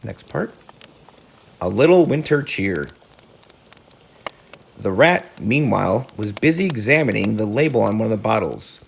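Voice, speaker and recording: A man's voice: on the low side, animated, unhurried, creaky, long pauses, a little hiss or hum, low-quality recording. A man narrating a children's storybook as a teacher reading aloud.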